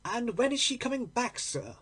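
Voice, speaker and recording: Respectable landlady played by a man, deferential, chatty, high lifted pitch, husky, storybook character. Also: sing-song, moderate pace, fairly bright tone, exaggerated voice. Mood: matter-of-fact. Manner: deferential, forthcoming.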